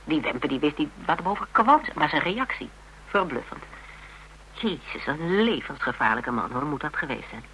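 A woman's voice: deep voice, sing-song, moderate pace, a bit bright. An actress playing a spirited, teasing woman in a radio drama, telling things playfully and dramatically with self-mockery. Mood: awed, excited. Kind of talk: Dutch radio drama dialogue. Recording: hummy, noisy old radio recording.

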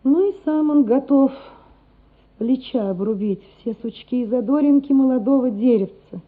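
An actress playing a loving, anguished gentry mother in a radio play, earnest, measured and emotional. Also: sits high. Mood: brooding. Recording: muffled, phone-like radio play recording.

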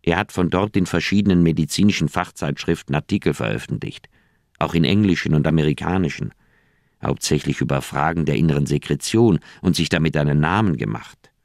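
Middle-aged doctor, very low voice, earnest and wordy, persuasive loyal friend, dry close radio drama sound. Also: male voice, a little fast, vocal fry, a little mellow, no echo. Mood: insistent, persuading. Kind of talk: German radio crime drama acting.

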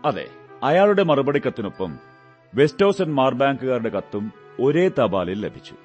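An actor playing a shrewd, commanding male detective in a Malayalam radio drama, speaking in a measured, deliberate way. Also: medium pitch, big pitch swings, darkish tone, lo-fi sound, soft background music, lightly acted. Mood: analytical.

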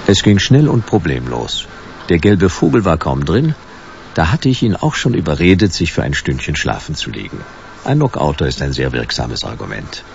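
A hard-boiled future private eye with a creaky, low voice. He is laconic and sardonic, telling his case like a noir radio play. The recording is dull and noisy. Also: sing-song, moderate pace, exaggerated voice. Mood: wry narrating. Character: world-weary hard-boiled male private detective of the future. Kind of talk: radio drama acting with first-person noir narration.

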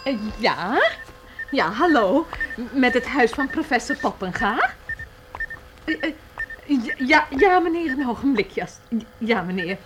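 A pert young female helper in a children's radio play. Her voice is fairly high and animated, polite yet outspoken. Clear noise sits behind the recording. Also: measured pace. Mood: flustered, polite. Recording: noisy old radio recording.

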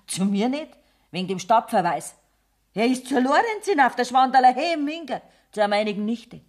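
A woman's voice: a little high, very animated, a little fast. A woman playing a resentful, plain-spoken older mother of an outcast son, with a Bavarian accent, in a radio play. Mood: indignant. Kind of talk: 1970s German radio play acting in dialect.

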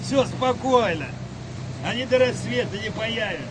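An actor playing a weary, freezing wartime sailor in a radio play, talking colloquially and grumbling. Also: male voice, very high-pitched, lively intonation, normal speed, background noise. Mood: uneasy, subdued. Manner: colloquial, grumbling about the cold. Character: weary freezing wartime sailor ending his night watch.

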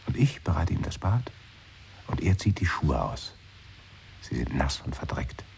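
Radio-play role: a very low-voiced, whispering, melancholy man. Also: very animated, unhurried, creaky, darkish tone, heavily acted. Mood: wistful. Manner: musing, often drops to a whisper. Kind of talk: 1980s radio play acting.

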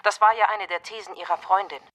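Female commissioner, radio crime drama, actress: sharp bright tone, medium pitch, businesslike, factual, clipped. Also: fairly even intonation, fast, close to an everyday voice.

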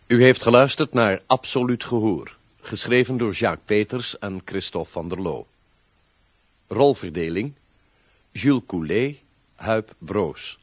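A dry, slightly dull studio recording of a male announcer. He reads scripted radio play credits at a very slow, measured pace in a fairly low voice. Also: dry recording.

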